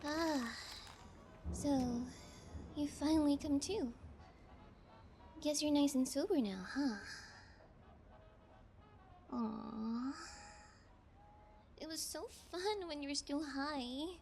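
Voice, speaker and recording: A woman's voice: high-pitched, extremely slow, long pauses, warm tone, soft background music, exaggerated voice. A woman voicing a playful, sociopathic young female fiend kidnapper in an ASMR roleplay, with sugary taunts and theatrical pouting. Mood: teasing, threatening.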